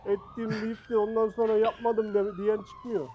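High voiced guy in a game roleplay, indignant, always calling people out. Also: flat delivery, normal speed, dull audio, crowd noise. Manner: indignant, challenging. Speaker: online roleplay player. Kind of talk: confrontational banter in online game roleplay.

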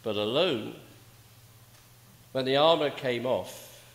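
An older man delivers a sermon at an unhurried, deliberate pace. His phrasing is rhetorical, with weighty pauses and repetition, and his mid-pitched voice rings through an echoey church with long reverb. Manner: measured, rhetorical, with emphatic pauses and repeated phrases. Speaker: preacher. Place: indoors, small church at a pulpit.

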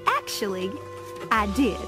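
A woman voicing a sweet, lovestruck young country mare in a cartoon, tender and gentle. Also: mid-range voice, wildly swooping pitch, a little slow. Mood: shy, affectionate. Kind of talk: cartoon voice acting, tender acoustic love song. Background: prominent music.

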